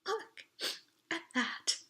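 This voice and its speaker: A woman voicing a nervous, excitable teenage girl in a sci-fi storybook, small-voiced and easily amazed. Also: very slow. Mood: awestruck, gushing. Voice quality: small, breathy, emotional voice. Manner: exclaiming and questioning, easily amazed.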